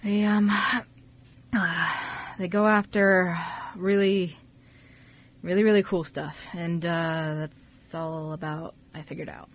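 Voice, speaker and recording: A woman's voice: lowish voice, steady tone. A woman voicing a nervous young man who is evasive under police questioning in an amateur radio drama. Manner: halting, evasive.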